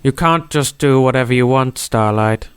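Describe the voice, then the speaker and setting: A man's voice: medium pitch, moderate pace, slight background noise, lightly acted. A man playing a grumpy, irritable wizard with a terse, stern manner in an amateur fantasy audio drama. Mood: irritated, stern. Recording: music bed under voice.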